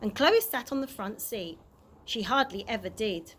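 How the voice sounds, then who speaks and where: A woman narrating a children's chapter book aloud in an expressive storytime style. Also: fairly high pitch, moderate pace. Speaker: early years practitioner reading a story. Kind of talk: animated children's audiobook narration. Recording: clear background noise.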